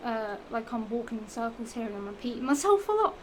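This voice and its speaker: A woman's voice: fairly high pitch, big pitch swings, quick pace. A young woman with a Norfolk accent chatting casually about shoes in a vlog, rambling and repeating herself, her voice a little strained from the heat. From England. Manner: rambling, self-repeating, giggly, with many fillers and restarts. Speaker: vlogger. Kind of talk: casual chatty product show-and-tell. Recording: noisy home recording.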